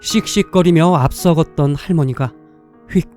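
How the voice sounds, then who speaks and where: A man narrating a scripted dramatic story in a measured, literary way, pausing between short phrases. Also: a little high, mellow, soft background music.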